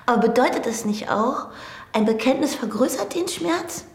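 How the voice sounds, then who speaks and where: An actress playing a young woman doctor in a radio drama, a hesitant, softly insistent admirer who quietly yearns. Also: a little high, expressive, moderate pace, echoey room. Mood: wistful, probing. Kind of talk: naturalistic radio drama acting.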